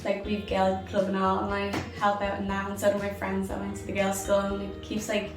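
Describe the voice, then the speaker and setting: Teenage Irish girl, flat chatty delivery. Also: medium pitch, brisk. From Ireland. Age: teens. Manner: chatty, lots of "like" fillers. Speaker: former student interviewee. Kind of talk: casual interview answers. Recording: echoey room, distant mic, music underneath.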